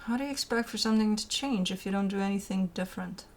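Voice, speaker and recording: A woman's voice: mid-range voice, fast. A woman hosting a tarot card reading, talking in an informal, rambling and emphatic way, repeating phrases and putting rhetorical questions to the listener. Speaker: tarot reader hosting her own show.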